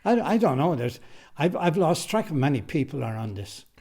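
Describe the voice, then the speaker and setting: A man's voice: fairly high pitch, big pitch swings, moderate pace, darkish tone. An Irish man who writes and directs radio drama, answering interview questions casually and emphatically, often repeating his phrases, in a recording studio.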